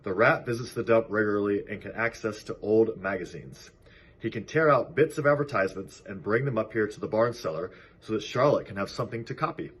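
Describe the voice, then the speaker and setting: A man's voice: fairly low pitch, animated, fairly quick, slight background noise, natural voice. A man voicing an old sheep in a storybook, blunt and persuasive, reasoning firmly. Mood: confident. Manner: firm, reasoning.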